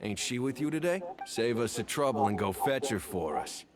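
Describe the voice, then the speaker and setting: A man voicing a gruff, sneering gang thug in an anime game. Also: mid-range voice, animated, moderate pace, background noise, close to an everyday voice. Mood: threatening.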